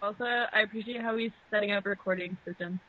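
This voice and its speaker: A woman's voice: mid-range voice, steady tone, fast talker, dry recording. A woman chatting casually as she riffs on a movie with friends, wry and teasing. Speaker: movie commentary host. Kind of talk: casual riffing commentary with friends. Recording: phone-like internet call.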